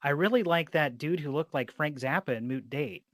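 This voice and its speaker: Guy interviewer, slightly high voice, rambly casual storytelling, dry sound. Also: normal speed, a bit bright, dry recording. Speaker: interviewer, host. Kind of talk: casual chat, rambling anecdotes.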